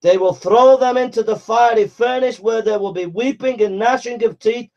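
A man with a high voice preaches hellfire, scolding and urgent, driving his points home through relentless repetition. The recording sounds muffled and lo-fi. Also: moderate pace, darkish tone. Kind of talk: fiery confrontational sermon.

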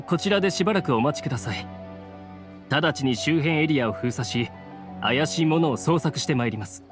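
A man playing a deferential guard officer in an anime game, speaking formally, politely and briskly. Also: on the high side. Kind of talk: Japanese anime game voice acting.

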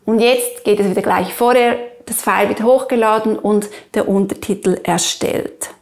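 A woman in her forties with a Swiss German accent, explaining an app step by step in a clear, instructional manner. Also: medium pitch, normal speed, darkish tone. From Switzerland. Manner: clear, instructional, addresses the viewer directly. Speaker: presenter of app tutorials. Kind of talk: step-by-step screen tutorial.

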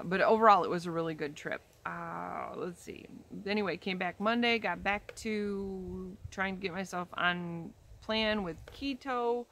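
A woman's voice: on the low side, a bit bright. A woman of about fifty chatting casually to camera from a backyard chair, rambling with frequent ums and restarts. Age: 50s. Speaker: vlogger. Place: outdoors in a leafy backyard, seated in a chair. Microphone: camera in front, head and shoulders framing.

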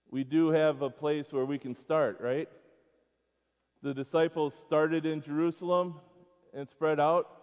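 Higher-voiced American man preaching in echoing church. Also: darkish tone. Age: middle-aged. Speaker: school chapel speaker. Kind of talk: informal children's sermon. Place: church sanctuary, speaking before schoolchildren. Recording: dull, echoing church hall pickup.

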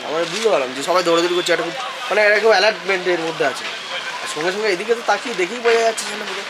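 A man chatting rapidly in a rambling, excited way as a travel vlogger on an open boat in wind and rain. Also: high voice, a crowd in the background. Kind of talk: casual vlog chat on a boat. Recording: noisy outdoor wind and weather.

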